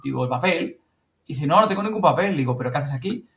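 A man with an accent from Spain telling anecdotes in casual, slangy, excitable talk, acting out bits of dialogue. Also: on the high side, fast, gravelly. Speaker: host and project member.

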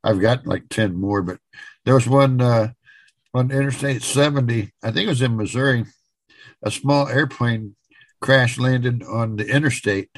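A man's voice: mid-range voice, a little slow, no echo. A man co-hosting a podcast, casually retelling odd news stories in conversation. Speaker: podcast co-host.

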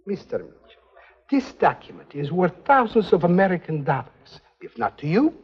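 An actor playing a jovial, slippery, greedy European go-between who sells secrets in a 1950s television spy drama, with formal phrasing. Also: male voice, high voice, big pitch swings, normal speed, breathy edge, heavily acted. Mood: businesslike, greedy. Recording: dull 1950s TV film soundtrack.

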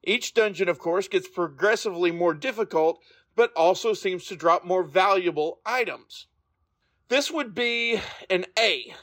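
A man's voice: sits high, fairly bright tone, dry recording. A man hosting a podcast and reading a scripted review.

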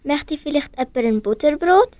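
A woman's voice: high voice, lively intonation, normal speed, a little mellow. A young female performer voicing animal characters who call out in a children's audio story.

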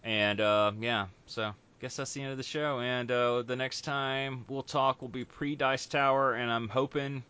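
Brief: male co-host, casual and meandering, bright tone, dull lo-fi audio. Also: medium pitch, measured pace, hardly any pauses, clear, resonant tone. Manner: rambling, relaxed, many fillers. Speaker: podcast host. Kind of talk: casual chat.

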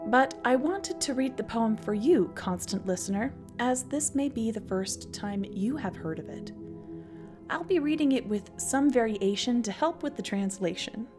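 A woman's voice: a little high, animated, moderate pace. Woman host narrating over music. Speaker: podcast host. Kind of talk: scripted narration and poetry reading.

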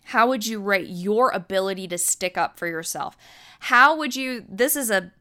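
An American woman in middle age speaks to her listeners like a teacher, earnest and explanatory. Her voice is smooth and clean, sitting at an ordinary pitch. She doubles words now and then when she wants to stress a point. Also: lively intonation, moderate pace, bright, forceful tone. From United States. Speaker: podcast host and birth educator. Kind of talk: teaching monologue.

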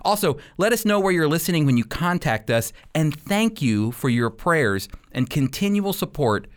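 Guy doing radio bible teaching, really lively up and down pitch, leans hard on key points. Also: normal speed. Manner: emphatic, repeats key points for stress.

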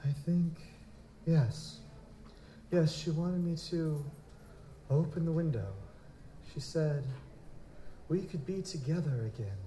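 Male actor for grieving young aristocrat, higher register, nervous and formal, easily panicked, echoey noisy live-stage drama recording. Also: slow, long pauses, mellow. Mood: shaken. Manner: stammering, formal, fretful. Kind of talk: live audio drama acting.

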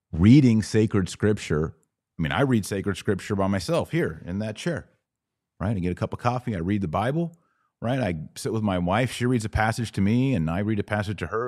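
A man's voice: low voice, dark tone, no echo, clean studio sound. A man hosting an informal religious Q&A, explaining things in a didactic way and answering his own rhetorical questions. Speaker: podcast host answering viewer questions. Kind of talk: live Q&A, informal religious teaching.

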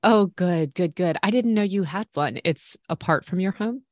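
A woman's voice: fairly low pitch, expressive, normal speed, no echo, muffled recording. A woman hosting a casual interview, encouraging her guest with frequent murmured acknowledgements. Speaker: podcast host.

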